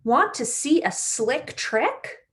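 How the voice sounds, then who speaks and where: A woman's voice: high voice, big pitch swings, slow, a bit bright, heavily acted. A woman voicing an eager, cheerful young stick character from a children's storybook in an exaggerated sing-song way. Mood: excited.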